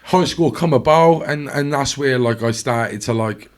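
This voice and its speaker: A young South Welsh man talks through his past as a podcast guest, easygoing and conversational. He rambles, stacks fillers and restarts his sentences, and his voice sits in an ordinary middle pitch. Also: measured pace. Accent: South Wales. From Wales. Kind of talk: casual storytelling chat.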